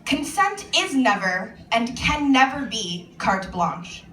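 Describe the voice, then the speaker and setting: A woman with an American accent, an author reading her own monologue aloud at a live event in a measured, earnest way. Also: on the high side, sing-song, a bit bright. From United States. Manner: measured, earnest spoken-word delivery. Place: event venue indoors with an audience. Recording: echoey room, voice far from the mic, faint noise.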